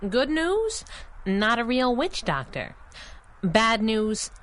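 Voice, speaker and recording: A woman's voice: on the high side, sing-song, takes it slowly, a bit bright, heavily acted. A woman playing a plucky young superhero sidekick in a 1930s-style radio drama, full of snappy, teasing, slangy wisecracks. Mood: wry, probing.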